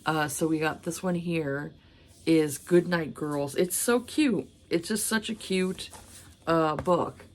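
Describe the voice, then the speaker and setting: A woman in her thirties, hoarse from a cold, chatting casually about books with many fillers. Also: deep voice, slow pace. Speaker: book vlogger. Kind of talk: casual chat.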